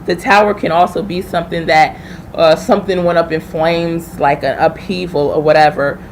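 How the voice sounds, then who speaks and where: A woman's voice: low voice, fairly even intonation, normal speed, slight background noise, low-quality recording. A woman hosting a live tarot reading, chatting informally and familiarly with viewers as she thinks aloud. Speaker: tarot reader hosting a livestream.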